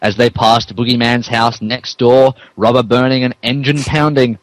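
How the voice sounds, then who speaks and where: A man's voice: mid-range voice, flat delivery, normal speed, no room sound, low-quality recording. A man narrating a comic dramatic reading of fan fiction, mock-serious and amused. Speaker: narrator, podcast host.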